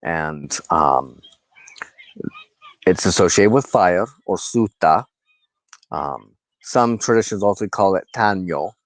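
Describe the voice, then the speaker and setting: A man giving a rambling, informal monologue to his viewers in a halting, reflective manner, often pausing mid-sentence. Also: deep voice, lively intonation, dry recording, low-quality recording. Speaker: host of a personal spiritual channel, wand crafter.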